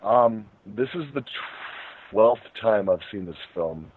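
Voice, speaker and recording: A man's voice: on the low side, animated, slow, a little hiss or hum. A man hosting a casual group film review chat over a narrowband internet call. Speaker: podcast host.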